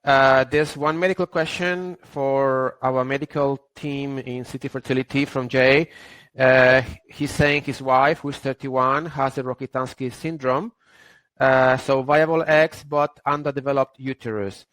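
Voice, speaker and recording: A man's voice: medium pitch, fairly even intonation, a little slow, fairly bright tone, no room sound, low-quality recording. A man moderating a webinar, politely reading out audience questions with fillers.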